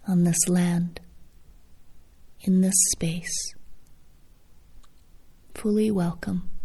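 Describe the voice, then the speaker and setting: Slow, breathy woman guiding meditation. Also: mid-range voice. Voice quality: soft, breathy, hushed. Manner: gentle, unhurried, with long pauses between phrases. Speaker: yoga nidra meditation guide. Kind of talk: guided meditation, scripted and soothing.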